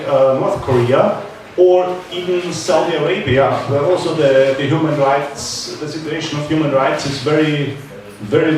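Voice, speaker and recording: A man asking questions from the audience in a halting way, searching for words. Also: fairly high pitch, darkish tone. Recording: very echoey, distant, faint background noise.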